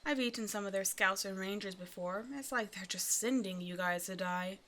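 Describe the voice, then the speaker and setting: A woman's voice: medium pitch, normal speed, fairly bright tone, close to an everyday voice. A woman voicing a hungry half-snake naga in an ASMR roleplay, teasing and condescending, with mock-sweet menace. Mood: smug, condescending. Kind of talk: ASMR roleplay audio drama, addressing the listener.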